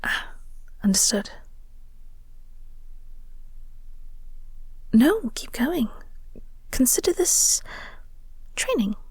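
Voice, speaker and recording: A woman playing a flirty, teasing vampire office co-worker in an intimate audio roleplay, speaking softly and breathily with a coy, unhurried manner. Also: high-pitched, big pitch swings, dry recording, exaggerated voice. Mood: teasing, attentive. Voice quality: soft, breathy, whispery. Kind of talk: intimate audio roleplay, whispered ASMR-like acting.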